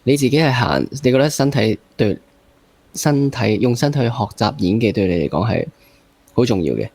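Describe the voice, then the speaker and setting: Man, mid-pitched voice, Hong Kong Cantonese accent, casual interview host, halting repeated phrasing. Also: animated, darkish tone, no room sound.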